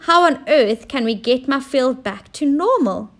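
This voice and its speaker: A woman voicing an excitable male fireman in a children's story, exclaiming dramatically. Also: high voice, unhurried, pitched above the performer's own voice. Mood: exasperated.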